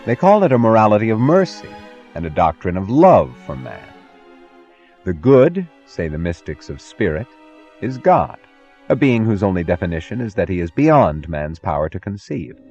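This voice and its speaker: A low-voiced man narrates a scripted speech with solemn weight. His delivery is oratorical and emphatic, moving widely in pitch over faint music. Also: big pitch swings, moderate pace, a little mellow, husky, dull audio.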